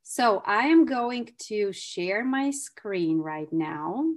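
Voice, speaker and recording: A woman's voice: medium pitch, expressive, takes it slowly. A woman from Bulgaria with a Bulgarian accent, a clinical psychologist giving an effusive online training talk over a video call, with non-native phrasing.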